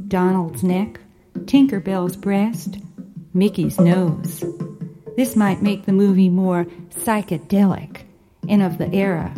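A woman narrating an audio story, musing on film ideas in measured, short phrases. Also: mid-range voice.

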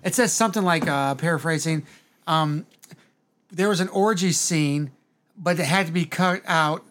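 A man's voice: on the high side, moderate pace, a bit bright. A man co-hosting a film review podcast, bantering casually in a joking, profane way.

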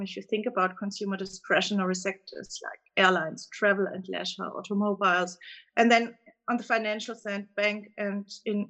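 A woman's voice: medium pitch, a bit bright, rough recording. A woman with a German accent, a guest analyst explaining dividend trends in a conversational interview, fluent with frequent fillers.

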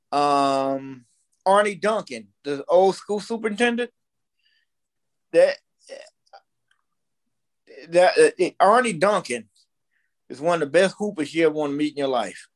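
An American man in his fifties telling stories casually, blunt and opinionated, repeating himself for emphasis. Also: fairly high pitch. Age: 50s. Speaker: podcast guest. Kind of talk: casual storytelling conversation.

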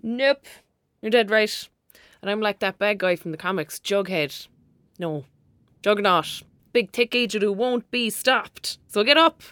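A woman plays a bold young Irish girl in an audio drama, the playful best friend who coaxes and bosses. Her Irish-accented banter is cheeky and colloquial, at a middle pitch. The sound is very dry. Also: measured pace, fairly bright tone, dry recording, natural voice. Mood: bossy, pushy. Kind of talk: naturalistic audio drama acting.

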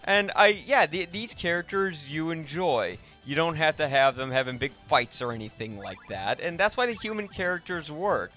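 A man hosting a podcast, talking casually about film in an animated, halting way that often repeats words. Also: on the high side, soft background music. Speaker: podcast host.

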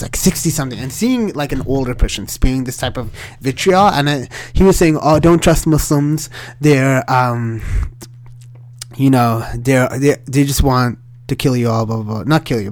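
A young man from Sri Lanka telling a story in casual conversation, animated and rambling, with many fillers and restarts. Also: medium pitch, normal speed.

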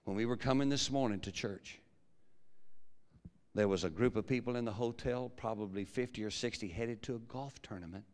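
Pastor guy preaching, huge voice, goes from low and quiet to loud, crisp sound, echoing around a big church. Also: normal speed. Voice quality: big projecting voice. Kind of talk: sermon. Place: large church hall. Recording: long hall reverb.

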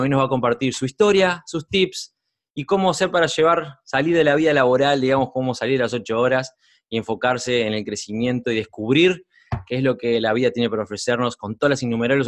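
A man's voice: medium pitch, no room sound. A man hosting an interview, introducing his guest with hesitant repeats. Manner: hesitant, repeats and restarts words. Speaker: interviewer host.

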